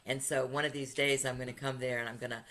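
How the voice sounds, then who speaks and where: A woman's voice: a bass voice, level tone, fast talker, fairly bright tone. A woman hosting a podcast interview. She is chatty and upbeat, and she laughs while she tells stories. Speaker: podcast host, retreat leader. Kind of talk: casual interview conversation.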